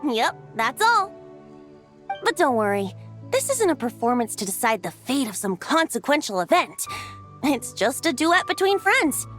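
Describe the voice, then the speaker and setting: Game casting: youthful male bard, high-pitched, very animated, teasing and poetic, music underneath. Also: measured pace, full character voice. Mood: gentle, friendly. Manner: poetic, teasing, breezy, flowery phrasing. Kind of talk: video game character voice acting.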